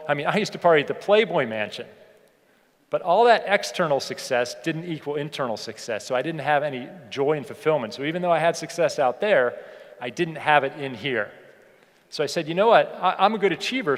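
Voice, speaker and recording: An American man delivers a motivational keynote in a somewhat high-pitched voice. He is conversational yet emphatic, often repeating his points. His words ring out with long reverb in a large hall. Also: animated, brisk. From United States (Pittsburgh). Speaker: keynote speaker, leadership educator. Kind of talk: motivational keynote speech with personal anecdotes. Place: large indoor hall, speaking to an audience.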